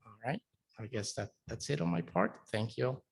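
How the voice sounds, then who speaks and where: A man's voice: mid-range voice, expressive, moderate pace, creaky, husky. A young man from El Salvador, a native Spanish speaker, introducing himself as a code officer at a town council meeting, with lots of hesitant filler sounds.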